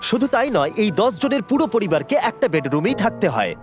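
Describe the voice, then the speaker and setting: High-pitched, animated man narrating quickly. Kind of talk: scripted dubbed documentary voiceover. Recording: muffled audio under music. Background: music.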